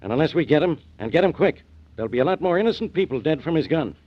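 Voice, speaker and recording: A man's voice: a little high, animated, fast talker. A man playing an urgent, insistent police inspector in a 1940s radio crime drama. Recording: dull vintage radio recording.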